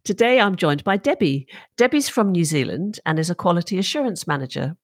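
A woman's voice: lowish voice, lively intonation, fairly quick, no echo, studio quality. A woman hosting a podcast interview, asking encouraging questions. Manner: friendly, encouraging prompts. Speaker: podcast host.

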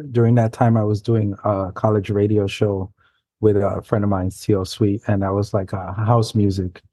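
A man from New York City whose first language is Spanish, telling stories as a guest in a relaxed, rambling way with frequent self-corrections. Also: lowish voice, dry recording. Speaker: guest, science fiction author. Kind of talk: casual storytelling in an interview.

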